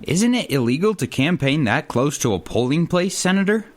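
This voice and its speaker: An actor playing a naive, questioning political aide in a comedy radio play. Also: male voice, on the high side, sing-song, normal speed, dry recording. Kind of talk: comedy radio theater acting.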